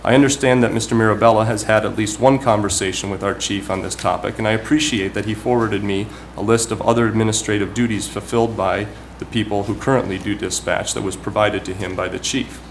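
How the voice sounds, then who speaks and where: A man giving prepared, formal remarks as a town councilman at a public meeting, speaking in a measured, deliberate way. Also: lowish voice, fairly even intonation. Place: municipal meeting room. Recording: clearly noisy public meeting recording.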